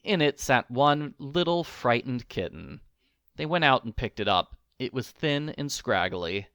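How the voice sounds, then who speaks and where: A man reading a children's storybook aloud in an expressive narrating style. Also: mid-range voice, measured pace, clean tone, no room sound, clean studio sound. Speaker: storybook reader.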